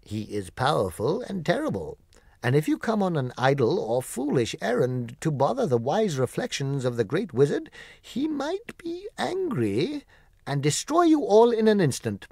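A man's voice: fairly high pitch, wildly swooping pitch, moderate pace, vocal fry, darkish tone, no room sound, clean studio sound, pitched above the performer's own voice, exaggerated voice. A man voicing a stern, pompous city gatekeeper who gives grave, theatrical warnings in a storybook reading. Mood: perplexed warning.

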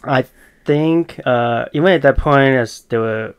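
A man, answering interview questions in a thoughtful, halting, earnest way. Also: medium pitch, clean tone. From Malaysia. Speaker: podcast guest. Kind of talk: casual interview.

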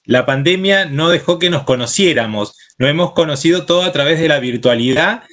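A middle-aged man with an Argentine accent gives informal thank-you remarks on a video call, in a halting way with repeated words. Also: a little high, a bit bright. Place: indoor room with bookshelves. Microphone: computer mic on a video call.

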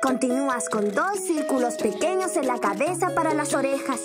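A woman's voice: sits high, lively intonation, normal speed. A woman voicing a cheerful little boy rabbit in a cartoon, patiently explaining how to draw step by step. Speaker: narrator teaching drawing. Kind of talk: cartoon dub, children's drawing lesson. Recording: studio voice over loud music.

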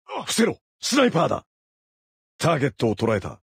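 Raspy, commanding soldier. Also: male voice, a little high, huge pitch swings, a little fast, a bit bright, no echo, studio quality, heavily acted. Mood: urgent, commanding. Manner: clipped, commanding military delivery. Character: male soldier operator, terse, commanding, battle-focused. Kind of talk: video game combat voice lines, short barks.

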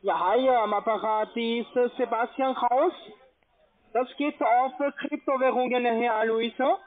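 A man's voice: very high-pitched, fairly even intonation, measured pace. A man with a foreign accent, a non-native speaker, walking a customer through steps as a call centre agent. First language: not German. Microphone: phone. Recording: dull, lo-fi phone line.

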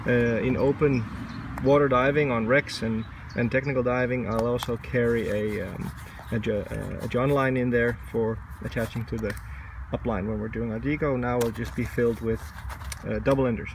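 A man's voice: mid-range voice, steady tone, moderate pace, vocal fry. Guy in his 40s doing a selfie vlog outside, lots of ums, hunting for words, bit of background noise. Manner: hesitant, many fillers, searches for words. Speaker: dive instructor vlogger. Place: outdoors, covered shelter and lawn. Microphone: phone camera at arm's length.

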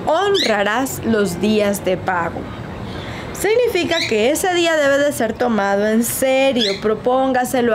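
Woman, 40s, lively coach, high-ish voice, outdoor balcony with clear street ambience. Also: noisy background. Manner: lively, uses rhetorical questions and formal "usted" address. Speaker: business coach. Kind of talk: informal financial advice talk to camera. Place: outdoor balcony overlooking hills.

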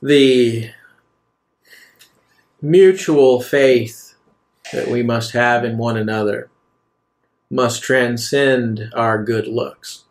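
Guy playing old wise leader in a tabletop game, really slow n solemn, persuasive. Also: mid-range voice, fairly even intonation. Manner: slow, deliberate, solemn delivery with pauses. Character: aged male leader, measured, grave, philosophical, persuasive. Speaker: game master. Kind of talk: in-character tabletop roleplay.

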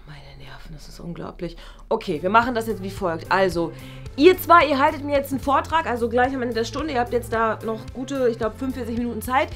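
Eine Frau spielt eine genervte, abfällige Vertretungslehrerin in einem Schulsketch und redet fahrig in abgebrochenen Sätzen. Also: female voice, on the high side, a little fast, faint music behind, close to an everyday voice. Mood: exasperated, indignant. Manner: rambling, breaks off sentences, exasperated. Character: irritable, dismissive female substitute school teacher, easily exasperated. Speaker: substitute teacher in a sketch series. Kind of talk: improvised school sketch acting.

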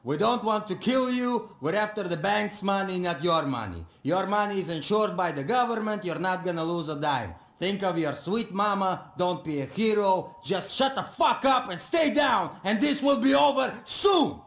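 An actor playing a blunt, menacing young robber in a video game. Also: male voice, sits high, lively intonation, moderate pace, hardly any pauses. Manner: blunt, forceful. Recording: roomy echo.

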